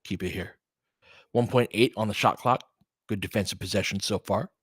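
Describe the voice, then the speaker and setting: A man's voice: on the low side, normal speed, slightly rough, dry recording, studio quality. An American man giving casual live basketball commentary, conversational and analytical, often in clipped phrases. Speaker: host and play-by-play commentator for a fan stream.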